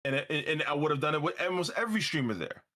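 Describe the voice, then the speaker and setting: A man's voice: a little high, fast talker, sharp tone. Rambling New York man hosting a stream. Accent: New York, Bronx-leaning. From Brooklyn, New York. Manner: rambling, repeats words, curses freely. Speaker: livestream host. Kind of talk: casual livestream chat.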